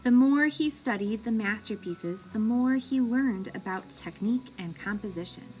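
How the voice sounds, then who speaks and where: A woman reading a children's picture book aloud in an expressive, deliberate way, like a teacher with young pupils. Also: fairly high pitch, soft background music.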